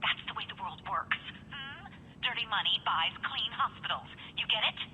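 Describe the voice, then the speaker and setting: An actress playing a cold, commanding corporate executive in a video game, curt and condescending. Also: female voice, a little high, lively intonation, normal speed, sharp tone, dull audio, slight background noise. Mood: stern. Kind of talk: video game cutscene acting.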